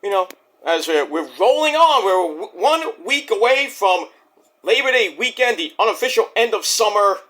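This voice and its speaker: Middle-aged brooklyn guy w/ new york accent, kinda high voice, bragging and rambling on his channel. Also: animated, normal speed, pushed and bright. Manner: boastful, rambling, restarts and fillers. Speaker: wrestling review YouTuber. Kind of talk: casual rambling monologue.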